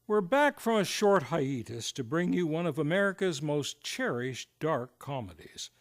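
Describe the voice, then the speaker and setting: A man's voice: fairly high pitch, big pitch swings, unhurried, slight background noise. A man hosting and narrating in a scripted, florid old-time radio announcer style. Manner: theatrical, florid presenter delivery. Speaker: series host and narrator. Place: live on stage in a theater.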